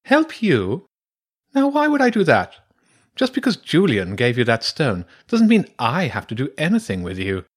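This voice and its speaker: Man putting on a high, swooping badger priestess. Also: huge pitch swings, moderate pace. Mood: indignant, wary. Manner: theatrical, exclamatory, grumbling. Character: eccentric, haughty female badger priestess with big claws. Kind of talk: character voice in a children's audiobook.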